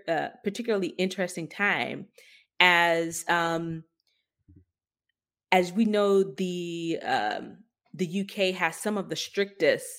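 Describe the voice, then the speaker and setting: A woman's voice: lowish voice, a bit bright. A woman co-hosting a podcast, talking through the news in a casual, conversational way and thinking aloud. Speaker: podcast co-host.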